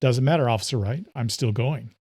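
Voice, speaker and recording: A man's voice: medium pitch, fairly quick, darkish tone, husky, dry recording, clean studio sound, natural voice. A man voicing a fatherly veteran policeman, hearty, proud and firm, in an audio thriller. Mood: insistent.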